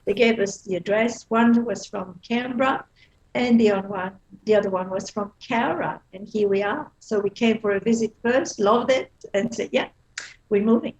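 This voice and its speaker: A chatty woman in her fifties with a Belgian accent, speaking as an interview guest. She tells things in a lively, animated way, with frequent laughs, fillers and restarts, at a middling pitch for a woman. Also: moderate pace, highs cut off. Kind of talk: casual interview chat.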